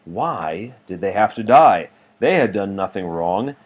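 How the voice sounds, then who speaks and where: A man's voice: fairly low pitch, expressive, measured pace. A man reading a children's storybook aloud as a parent to a child, in a narrating style. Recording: muffled home recording with clear noise.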